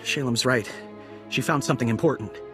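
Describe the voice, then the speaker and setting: An actor playing an excitable, earnest young accountant turned fighter in a video game, eager and breathless in manner. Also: male voice, medium pitch, a little fast, soft background music, natural voice. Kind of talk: video game cutscene acting.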